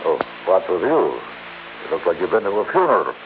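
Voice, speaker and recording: Deep-voiced, brisk investigator. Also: male voice, very animated, narrow, tinny audio. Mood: concerned, puzzled. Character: plain-spoken, concerned male investigator at work. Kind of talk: 1960s radio drama acting. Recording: noisy, band-limited 1960s radio recording.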